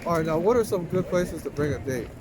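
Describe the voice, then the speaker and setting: A man's voice: a little high, lively intonation, fast, background noise. A man hosting a casual street interview, asking questions in an informal, conversational way. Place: outdoors in an urban public spot. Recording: outdoor street with very loud music.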